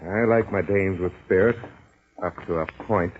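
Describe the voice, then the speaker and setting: An actor playing a big, flashy, amorous American racketeer, cocky and slangy, in 1940s radio drama style. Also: male voice, low-pitched, normal speed, a little hiss or hum, lightly acted. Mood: swaggering. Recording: muffled 1940s radio recording.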